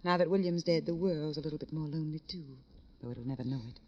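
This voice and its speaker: A woman's voice: low-pitched, fast, a little mellow, lo-fi sound. A woman acting a lonely, grieving, proud widow in a classic radio drama, melodramatic and wounded. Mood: lonely, wistful. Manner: hesitant, melodramatic, wounded pride.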